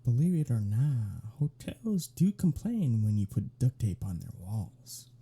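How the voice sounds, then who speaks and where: Man acting a teasing vampire, sardonic, flirtatious, gravelly fry, lively swooping pitch, ASMR audio drama. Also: a little slow, exaggerated voice. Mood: casual, wry. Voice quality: husky, breathy, dark. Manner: sardonic, teasing drawl, often dropping to breathy murmurs. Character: teasing, flirtatious male vampire, sly free spirit.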